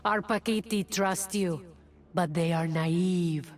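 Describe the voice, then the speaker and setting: An actress voicing a proud, fierce, protective jungle huntress in a video game, menacing and deliberate, with a sardonic edge. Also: female voice, on the low side. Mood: stern warning. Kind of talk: video game character voice lines. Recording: game clips with music behind.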